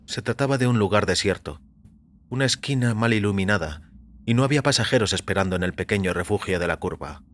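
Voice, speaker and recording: A man reading scripted first-person fiction as narrator, in a measured, composed manner. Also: lowish voice, expressive, fairly bright tone. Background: music.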